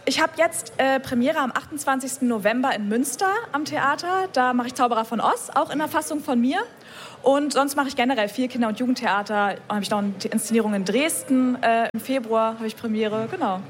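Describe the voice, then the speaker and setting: A young woman, a poet and theatre director, speaking relaxed and chatty as a radio guest. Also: on the high side, brisk, slight background noise. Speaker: poetry slammer and theatre director.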